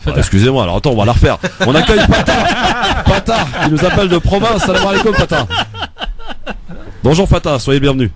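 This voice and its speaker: A man hosting a radio phone-in debate, moderating the table and the callers in a lively way. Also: a little high, brisk, noisy background. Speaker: radio talk show host.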